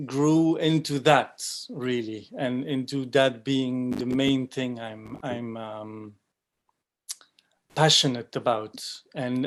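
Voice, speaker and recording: A man in his forties, a frame drummer, chatting on a video call with enthusiasm and lots of ums, his sentences often trailing off quietly. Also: medium pitch. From Belgium. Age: 40s. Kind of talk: casual online panel chat.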